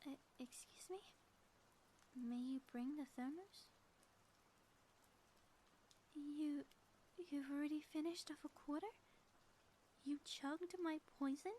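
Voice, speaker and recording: A high, soft-toned villainess played by a woman gloats in grand, florid phrases, savoring her melodrama in an audio roleplay. Her mellow timbre keeps the menace playful and theatrical, over a faint background noise. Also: slow pace, long pauses, poor audio. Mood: taken aback. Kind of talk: scripted ASMR audio roleplay.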